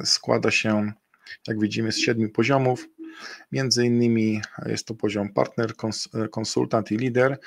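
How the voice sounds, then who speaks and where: A man's voice: medium pitch, vocal fry, no echo. A middle-aged man giving a webinar presentation over a video call. He talks in a hesitant way, with many fillers and self-corrections. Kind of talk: screen-share webinar presentation. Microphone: webcam and computer mic on a video call.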